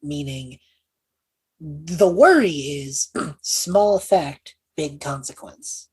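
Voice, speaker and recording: A woman's voice: low-pitched, very slow, darkish tone, slightly rough. A woman talking informally through a tabletop role-playing game, explaining things in a casual, improvised way. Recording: music playing behind the voice. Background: background music.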